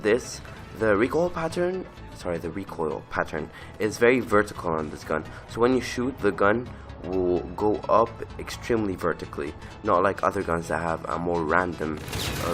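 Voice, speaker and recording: A man with a cold, giving casual, rambling gameplay commentary from his bedroom and often correcting himself. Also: deep voice. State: voice rough from a lasting cold. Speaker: gaming channel host. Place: bedroom at home.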